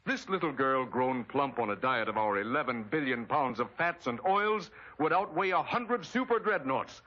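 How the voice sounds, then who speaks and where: A man's voice: mid-range voice, expressive, moderate pace. An American man delivering an emphatic, dramatic scripted narration in the style of a 1940s wartime propaganda film. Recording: dull vintage 1940s film soundtrack. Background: loud orchestral film score.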